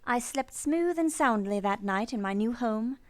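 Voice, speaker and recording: An actress playing a composed, earnest young governess in a radio play, speaking in a measured, formal storytelling way. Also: female voice, on the high side, lively intonation, faint music behind. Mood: reflective, measured. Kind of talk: first-person narration and dialogue in radio play.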